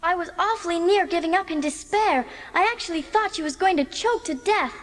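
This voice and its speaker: An extremely high, girlish voice for an earnest, talkative child of eleven. The orphan girl speaks in precise, grand phrases with theatrical feeling, in the manner of a dramatised storybook. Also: fairly quick. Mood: dramatic, relieved. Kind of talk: dramatised audiobook acting.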